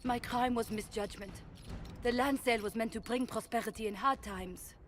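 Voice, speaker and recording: Game role: actress as proud, desperate noblewoman on trial, raised pitch, noisy backdrop. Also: normal speed, natural voice. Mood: defiant, defensive. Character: accused noblewoman on trial, defiant, then desperate. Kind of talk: dramatic video game acting.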